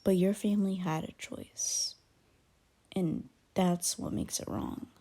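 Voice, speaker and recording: A woman playing an aloof, grudgingly caring young werewolf in an ASMR roleplay, soft and breathy with whispered dips and blunt, guarded, halting delivery. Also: lowish voice, steady tone. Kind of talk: ASMR roleplay, intimate one-sided character dialogue.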